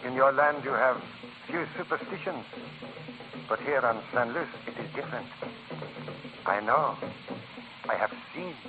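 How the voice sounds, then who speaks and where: A man's voice: medium pitch, unhurried, long pauses, natural voice. An actor playing a solemn, fearful island man who believes in evil spirits, with portentous, formal phrasing, in a 1940s radio melodrama. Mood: ominous. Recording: tinny 1940s radio recording with music.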